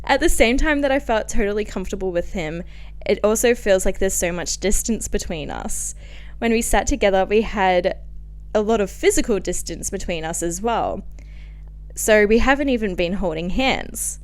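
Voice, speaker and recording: Australian female, 20s, crisp mid-range voice. Podcast host, chatty confessional read, self-mocking and animated. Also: medium pitch, normal speed. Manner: animated, self-mocking, giggly asides, frequent "like". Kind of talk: casual, confessional podcast storytelling and diary reading.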